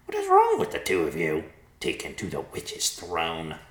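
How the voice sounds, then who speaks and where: A man voicing an excitable, fearful, pleading vulture in a storybook, with a shrill, strained voice. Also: moderate pace, reverberant. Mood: horrified, refusing. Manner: exclamatory, repeats words in agitation. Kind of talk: character voice in storybook narration.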